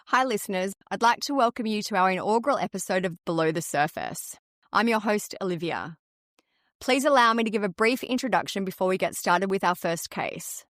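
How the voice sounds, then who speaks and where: A woman's voice: mid-range voice, a little fast, a bit bright, no echo, studio quality. Australian woman hosting a podcast. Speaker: podcast host. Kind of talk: scripted true crime podcast narration.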